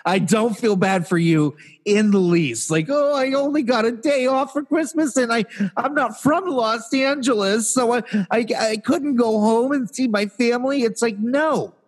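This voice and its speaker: A young man co-hosting a casual podcast, ranting in an exasperated, animated way with lots of restarts. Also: very high voice, a little slow. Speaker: podcast co-host. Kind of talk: casual chat.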